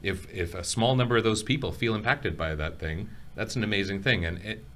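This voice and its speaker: A man on the college faculty speaking hesitantly on a podcast, pausing and restarting his sentences. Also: lowish voice, fairly bright tone, slight background noise. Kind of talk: casual podcast conversation.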